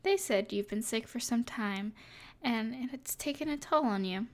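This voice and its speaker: A woman playing a smug, teasing evil sorceress in an intimate ASMR roleplay, with a breathy edge and a haughty, mysterious manner. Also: on the high side, unhurried, lightly acted. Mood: matter-of-fact.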